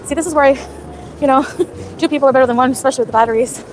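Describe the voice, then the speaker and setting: A woman's voice: sits high, rapid-fire, slight background noise. A woman in her thirties livestreaming on her phone at an outdoor night market, chatting casually and reading out viewers' names between bites. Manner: chatty, reads chat names aloud while eating. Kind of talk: casual live stream chat. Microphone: phone at arm's length. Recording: outdoor phone stream with loud background music.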